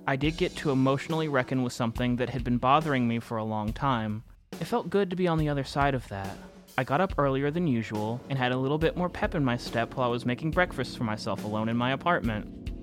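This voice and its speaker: Male actor as anxious male narrator, audio fiction monologue, mid-range voice, reflective, deliberate, faint music. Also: mid-range voice, expressive, close to an everyday voice. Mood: reflective, uneasy. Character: anxious male narrator confessing a reality-bending game.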